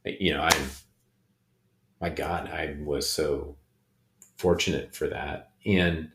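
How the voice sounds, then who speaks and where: A middle-aged man co-hosting a podcast, talking reflectively in casual conversation, with many pauses and restarts. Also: rumbling low, fairly even intonation, vocal fry, noisy background. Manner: hesitant, many ums and restarts. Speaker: podcast co-host. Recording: echoey room.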